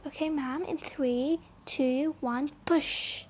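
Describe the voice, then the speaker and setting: A young woman voicing a brisk, kindly female hospital doctor in an amateur roleplay. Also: sits high, fairly even intonation, a little mellow, slight background noise. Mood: instructing. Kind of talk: amateur voiced toy-app roleplay.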